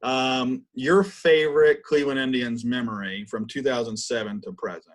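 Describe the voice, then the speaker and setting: A man's voice: medium pitch, fairly even intonation, moderate pace. An American man co-hosting a sports podcast, speaking in a casual, chatty way. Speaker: podcast co-host. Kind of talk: casual interview chat.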